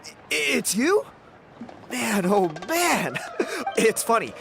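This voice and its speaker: A man's voice: very high voice, very animated, slow pace, gravelly, over a noisy crowd, exaggerated voice. An actor playing a cheerful, boastful young male dancer in a video game, chatty and self-assured. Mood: excited, boastful. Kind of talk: video game character dialogue. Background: game music.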